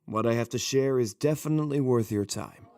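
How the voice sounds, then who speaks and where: A man's voice: medium pitch, brisk, creaky, dark tone, clear, resonant tone, no echo, lightly acted. A man playing a smug, flippant prisoner with a theatrical way of talking in an anime parody audio drama. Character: smug, sly male prisoner bargaining with intel. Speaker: voice actor.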